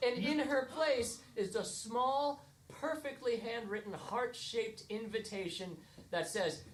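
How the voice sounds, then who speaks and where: A woman's voice: on the high side, takes it slowly. A woman improvising mock-dramatic, sweary comic narration on a theatre stage. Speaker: improv comedy performer narrating. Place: theatre stage before live audience. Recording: echoey stage with faint noise.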